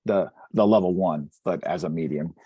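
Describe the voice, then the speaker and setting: A man's voice: deep voice, moderate pace, breathy edge, no room sound, muffled recording. A man chatting casually as a player in a tabletop role-playing game.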